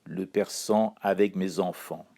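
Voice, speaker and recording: A man from Iran reading his own spoken column in a wry, ironic, measured way. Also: sits low, fairly even intonation, warm tone. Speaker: chronicler. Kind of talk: read-aloud spoken column.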